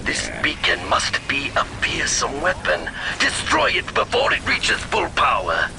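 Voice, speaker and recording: An actor playing a calm, dutiful alien military commander in a video game, speaking in a firm, measured, tactical manner. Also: male voice, fairly high pitch, gravelly, over music, heavily acted. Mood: urgent, commanding. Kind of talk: video game dialogue acting.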